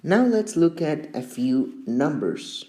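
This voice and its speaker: A man teaching a beginner English lesson, speaking slowly and deliberately with long pauses between words. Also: on the high side, big pitch swings, warm tone.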